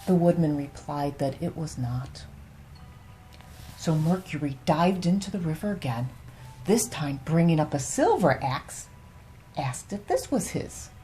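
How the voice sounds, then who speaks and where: Female bedtime storyteller, slightly low voice with a soft, mellow tone, soothing and unhurried reading of fables. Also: lively intonation, faint music behind, rough recording.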